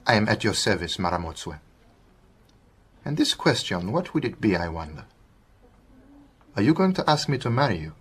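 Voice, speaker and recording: Husky Southern African gentleman doctor. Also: fairly low pitch, sing-song. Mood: playful. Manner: courteous, measured, precise phrasing. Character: genial, cautious, teasing male doctor. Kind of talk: radio drama acting.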